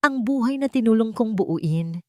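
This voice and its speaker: A woman's voice: on the high side, animated, measured pace, dark tone, dry recording, clean studio sound, pitched above the performer's own voice. A woman voicing a cold, scheming young woman in a dramatic audio story, icy, calculating and menacing. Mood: icy, threatening. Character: cold, scheming young woman blackmailing her former lover.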